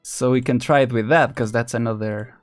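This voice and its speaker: Male game streamer, mid-pitched, casual and rambling, thinking aloud with music behind him. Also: steady tone, fairly quick. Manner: rambling, chuckling, thinking out loud. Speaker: live streamer.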